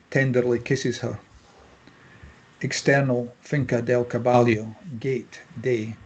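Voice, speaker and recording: A man's voice: medium pitch, a little mellow, breathy edge. A man narrating a screenplay, reading scene headings and stage directions at a table read.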